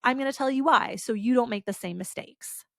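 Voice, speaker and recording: A woman's voice: a little high, fairly quick, vocal fry, a bit bright, dry recording, studio quality. A woman hosting a solo podcast, talking casually with lots of "like" fillers, her voice stuffy and congested. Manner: chatty, casual, with frequent "like" fillers. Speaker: podcast host.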